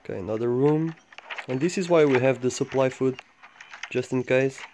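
A man giving low-key, casual gameplay commentary, thinking aloud in short fragments as he guides viewers. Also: normal speed, darkish tone. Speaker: gamer making a walkthrough guide. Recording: clearly noisy home recording with clicks.

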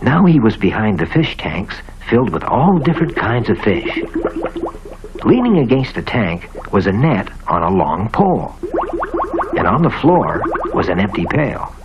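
A man's voice: lowish voice, big pitch swings, unhurried, crowd noise. A man narrating a children's storybook cartoon on a muffled old soundtrack. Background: loud music.

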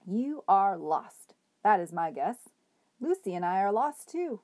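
A woman voicing an enormous bearded male hobo who speaks in a gently formal, unhurried, courtly way. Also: sits high, very animated. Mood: genial.

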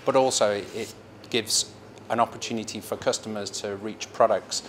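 A middle-aged man talks about his farm shop in an earnest, measured way. His voice sits low and stays fairly flat. Clear background noise runs under the recording. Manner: earnest, measured, with frequent fillers. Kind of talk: promotional interview. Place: indoor shop by the cheese counter.

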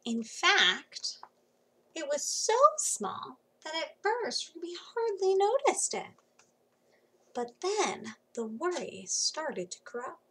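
A woman in her thirties reading a children's storybook aloud expressively, with dramatic pauses and hushed emphasis, recorded on a computer mic in a small room at home. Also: sits high, very animated, poor audio. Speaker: children's book reader. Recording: faint background noise.

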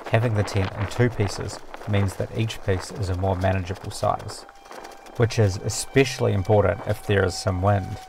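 A man narrating a sailing vlog in a calm, matter-of-fact voice-over, explaining a build step by step. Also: fairly low pitch, normal speed, vocal fry, noisy background. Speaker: sailing vlogger.

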